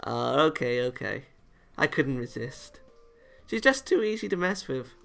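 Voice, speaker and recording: A man's voice: medium pitch, big pitch swings, unhurried, gravelly, fairly bright tone, soft background music, heavily acted. Man playing a teasing, mischievous anime girl. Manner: sing-song, playful teasing.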